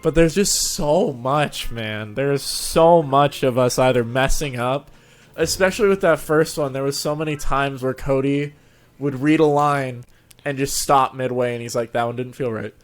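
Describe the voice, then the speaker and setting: A man chatting casually as a channel host, telling stories in an animated way and often laughing as he talks. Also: medium pitch, moderate pace. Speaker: host of a fan fiction narration channel. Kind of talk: casual chat.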